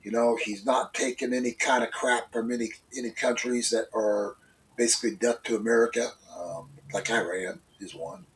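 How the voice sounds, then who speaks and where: A man's voice: mid-range voice, steady tone, moderate pace, slight background noise. A congested man co-hosting a casual opinion talk show, rambling with many fillers and restarts. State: congested, stuffy. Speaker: co-host. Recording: voice a bit far from the mic.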